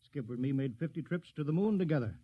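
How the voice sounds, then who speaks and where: A man's voice: a little high, expressive, quick pace. A man playing a cheerful veteran spaceship radio man with a folksy, familiar manner in a 1950s radio drama. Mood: jaunty, chummy. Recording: dull old radio recording.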